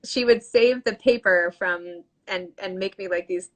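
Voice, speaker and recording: A woman hosts a book podcast over a video call. She talks casually with plenty of "like" and "um", laughs at herself, and keeps a mid-range pitch. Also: measured pace. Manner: conversational, filler-heavy, self-deprecating. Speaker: podcast host. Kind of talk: casual interview chat.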